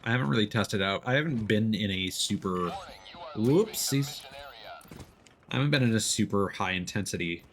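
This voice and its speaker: A man's voice: fairly low pitch, animated, moderate pace, a bit bright. A man streaming a video game, explaining it casually as he plays in an easygoing way. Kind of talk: casual gameplay commentary, explaining as they play. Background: video game sound.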